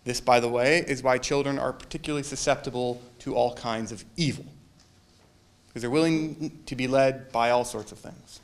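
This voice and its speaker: A man's voice: medium pitch, fairly even intonation, moderate pace. A man giving a casual sermon to a congregation, joking and quizzing his listeners. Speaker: minister.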